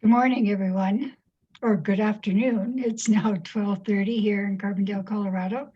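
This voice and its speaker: A woman's voice: mid-range voice, fairly even intonation, normal speed, husky, highs cut off. A woman hosting a conversational interview as its interviewer. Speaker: interview host.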